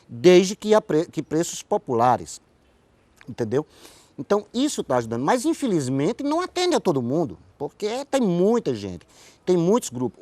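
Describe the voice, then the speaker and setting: Male interview guest, Brazilian Northeastern accent. Lively pitch swings, gravelly edge, emphatic explainer. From Pombal, Paraíba, Brazil. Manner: emphatic, explanatory, checks listener understands. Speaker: interview guest, playwright and theater director. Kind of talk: conversational interview answer. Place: outdoor hotel terrace.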